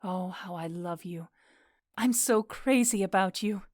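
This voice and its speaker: Woman acting a lovestruck fairy queen in an audio play, tender but bossy, mid pitch, dry sound. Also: measured pace, darkish tone, no room sound, close to an everyday voice. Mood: adoring. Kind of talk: modernized Shakespeare audio drama acting.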